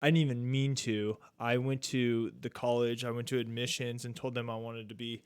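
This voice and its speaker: A relaxed man in his thirties hosts a podcast, rambling easily and leaning on "like" and "dude" between thoughts. His voice is smooth and resonant at a middle pitch. The sound is close and dry, with no room around it. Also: moderate pace, no room sound. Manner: laid-back, rambling, says "dude" and "like" a lot. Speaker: podcast host. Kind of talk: casual chat.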